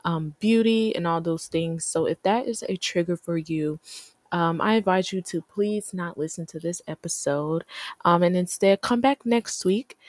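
A young woman hosting her own podcast in casual, playful chat, full of slang, her voice a little deep lately. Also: moderate pace, no echo. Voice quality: voice sounding a bit deep lately. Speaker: podcast host.

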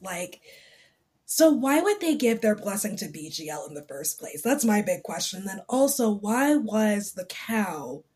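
A woman's voice: mid-range voice, sing-song, a little slow, a little mellow, slightly rough. A woman co-hosting a podcast, casually chiming in and posing questions. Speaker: podcast co-host. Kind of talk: casual chat.

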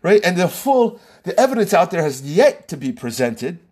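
Man, American accent, husky voice, lively pitch, emphatic opinionated podcast monologue. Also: normal speed. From United States. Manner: emphatic, rhetorical, frequent "right?" asides. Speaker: podcast host.

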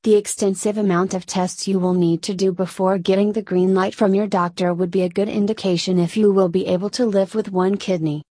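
A woman narrator reads a scripted feature story in a measured way. Her voice is mellow and relaxed, sitting at a middle pitch, and the sound is very dry with no room around it. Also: no room sound.

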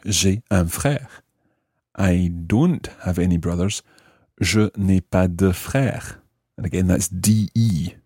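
Male teacher-host, very low and mellow, methodical explainer for a language lesson, very dry sound. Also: slightly rough, no room sound. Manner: methodical, spells out and repeats phrases.

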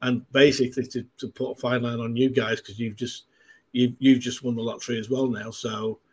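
A man's voice: medium pitch, little pitch movement, brisk, slightly rough. A man in his sixties from Manchester with a Mancunian accent, a podcast guest chatting casually and rambling, with many fillers and restarts. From Manchester, England.

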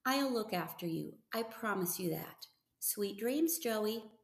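A woman's voice: mid-range voice, takes it slowly, vocal fry. Woman voicing an eager young farm boy, roomy sound. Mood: tender, reassuring. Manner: earnest, excitable, talkative. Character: eager thirteen-year-old farm boy, gentle and adoring.

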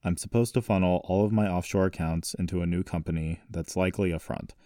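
A man's voice: sits low, steady tone, fast, mellow, studio quality, close to an everyday voice. A man voicing a stern, protective grandfather, grave and commanding, in audiobook dialogue. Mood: weary, confiding. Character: stern, protective, secretive grandfather, wealthy family patriarch. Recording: roomy echo, distant mic.

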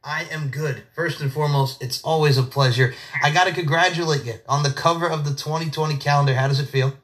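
A man's voice: fairly high pitch, fairly even intonation, fast talker, fairly bright tone. A young man hosting a podcast, hyped and effusive, often repeating himself as he chats with his guest. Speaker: podcast host. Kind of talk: casual interview chat.

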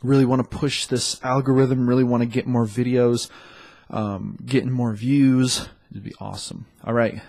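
A man hosting a dating podcast in a loose, chatty way, sounding congested and often pausing on fillers. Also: medium pitch, little pitch movement, a little mellow. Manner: loose and chatty, with many fillers and self-corrections. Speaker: podcast host and dating coach. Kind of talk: casual solo podcast talk.

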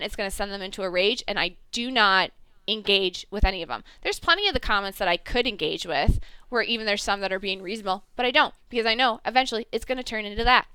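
A woman's voice: fairly high pitch, bright, forceful tone, clean tone, no room sound, rough recording. A woman co-hosting a casual podcast chat about TV shows, slightly nasal, rambling and self-correcting with frequent laughs. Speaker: podcast co-host and TV reviewer.

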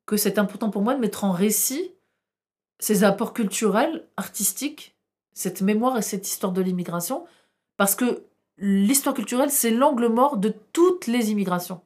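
A woman's voice: mid-range voice, expressive, moderate pace, a little mellow. Impassioned French woman historian. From France. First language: Arabic. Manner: impassioned, rhetorical questions, frequent hesitations. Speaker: historian guest. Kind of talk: podcast interview.

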